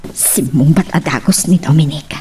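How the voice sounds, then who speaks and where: An actress with an Ilocano accent playing a sharp, teasing married boutique owner in a theatrical, animated radio drama style. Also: female voice, low-pitched, normal speed, slight background noise, lightly acted. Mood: scolding, exasperated.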